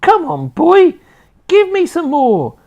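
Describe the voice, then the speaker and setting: A woman's voice: extremely high pitch, huge pitch swings, slow, dark tone, highs cut off, voice pushed up, exaggerated voice. A woman voicing a wild, greedy old grandmother who shrieks and whoops in a screechy voice. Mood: demanding.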